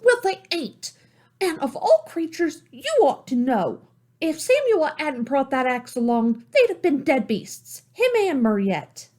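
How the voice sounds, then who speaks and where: A man's voice: extremely high pitch, big pitch swings, measured pace, darkish tone, voice pushed up, heavily acted. A man voicing a hot-tempered, defiant young female ferret with folksy grammar. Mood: indignant.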